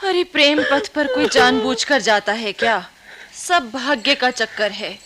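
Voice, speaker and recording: Lively, teasing young girlfriend, high voice. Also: unhurried, background noise. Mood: reassuring. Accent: Indian. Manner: playful, teasing, expressive. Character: teasing, reassuring young woman, a close girlfriend. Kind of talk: Hindi radio play acting.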